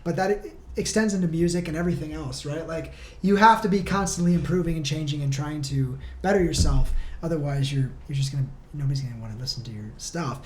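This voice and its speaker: A woman's voice: sits low, quick pace, hardly any pauses, a little mellow. A woman talking casually and enthusiastically as a guest in a podcast interview. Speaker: band member guest. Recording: roomy, echoey room with noise.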